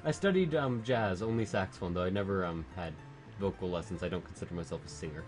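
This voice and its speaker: A man's voice: deep voice, quick pace, a little mellow. Canadian man, casual game stream chat, joking and swearing, faint music behind. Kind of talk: casual chat while gaming.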